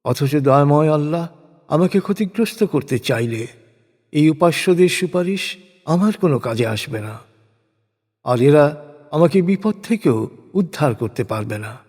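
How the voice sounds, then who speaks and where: A man gives a solemn, scripted recitation of translated scripture in a measured, declamatory way. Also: on the high side, expressive, a little mellow. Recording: long hall-like reverb.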